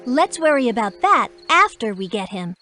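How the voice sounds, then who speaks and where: A woman voicing a determined, spirited young girl adventurer in a cartoon computer game, brisk and earnest. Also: fairly high pitch, very animated, bright, forceful tone, soft background music.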